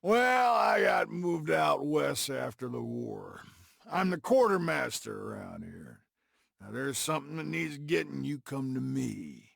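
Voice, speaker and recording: A roguish frontier quartermaster from a video game, heavily gravelly and husky. He talks in a brash, folksy American drawl that swings widely, with a cynical streak. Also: medium pitch, lively intonation, measured pace, a bit bright, no room sound, studio quality, exaggerated voice. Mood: jovial. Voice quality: gravelly, raspy. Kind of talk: video game cutscene acting.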